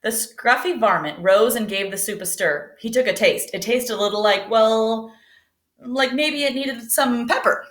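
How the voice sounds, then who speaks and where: A woman's voice: fairly high pitch, unhurried, fairly bright tone, roomy sound. A woman teacher reading a picture book aloud expressively and putting questions to young listeners.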